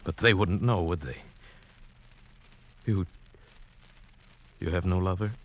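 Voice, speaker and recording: An actor playing a gentle, lovestruck outsider scorned as a fool, speaking softly, tenderly and haltingly in 1940s radio drama style. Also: male voice, low voice, muffled recording, full character voice. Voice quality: soft. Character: lovestruck sighted outsider, gentle man scorned as village idiot. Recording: old radio drama with music.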